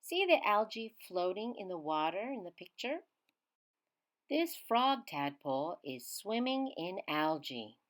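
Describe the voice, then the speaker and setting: A woman reading a children's science book aloud in a teacherly way, emphasizing key words with simple, expressive phrasing. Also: on the low side, a little slow. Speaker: children's read-aloud reader.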